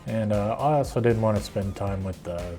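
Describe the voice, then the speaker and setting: A man's voice: fairly low pitch, moderate pace, mellow, faint music behind. A middle-aged man with an American accent, casually explaining a do-it-yourself project in a plainspoken, unscripted way. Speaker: woodworking video host.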